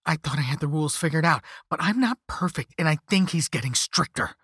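A man's voice: medium pitch, lively intonation, measured pace, fairly bright tone, husky, no echo, studio quality. A man acting a desperate, angry cursed protagonist in a story, speaking in a heated, emphatic, strained way. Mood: anguished.

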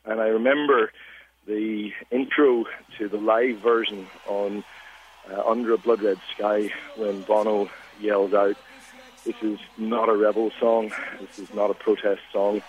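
A man with a Northern Irish accent leaves a reflective message, his voice sitting somewhat low. He speaks earnestly and at an even pace. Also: measured pace, highs cut off, slight background noise. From Northern Ireland. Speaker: caller.

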